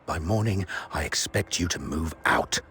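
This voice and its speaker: A man voicing a cold, menacing authority figure in a video game, husky, curt and dismissive. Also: very deep voice, animated, moderate pace, a bit bright, exaggerated voice. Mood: cold, commanding.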